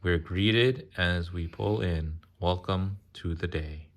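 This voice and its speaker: A man reading a children's picture book aloud in a casual way, pausing to chat, ask questions and explain. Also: deep voice. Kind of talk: casual read-aloud with chatty asides.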